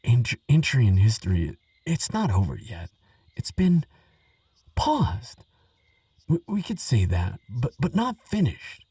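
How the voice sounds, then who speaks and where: A man playing an earnest, excitable archaeologist who talks gently to a friend, stammering and musing with wonder, in a relaxing audio roleplay. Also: medium pitch, slow pace, slightly rough, no echo, recorded from a distance, full character voice. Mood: apologetic, reassuring. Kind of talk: relaxing scripted audio roleplay, spoken to the listener.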